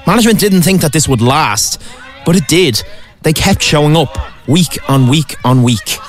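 A man narrating a local news feature in a measured, scripted voice-over. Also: on the high side, expressive, faint music behind.

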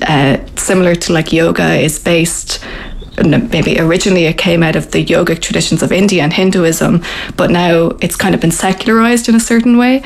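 An Irish woman who grew up speaking Irish, explaining her practice in a relaxed, thoughtful way with frequent ums and restarts. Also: fairly low pitch, creaky. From Ireland. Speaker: interviewee, Buddhist centre member. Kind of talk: casual conversational explanation.

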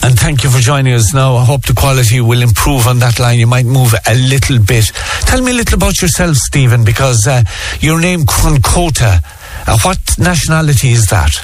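A man's voice: mid-range voice, expressive, a little fast, faint music behind. An Irish man hosting a local radio talk show, speaking conversationally with some hesitations. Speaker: radio host.

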